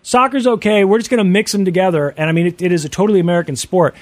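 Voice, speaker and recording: A man's voice: high-pitched, steady tone, rapid-fire, a little mellow. A man hosting a talk radio show, chatting casually and upbeat with his co-hosts.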